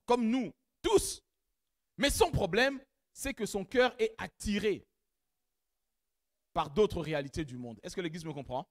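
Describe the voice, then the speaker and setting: Animated high-pitched preaching pastor. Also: male voice. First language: not French; has another mother tongue. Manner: animated preaching, rising to loud emphatic peaks, addresses the congregation directly. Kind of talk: sermon. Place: church.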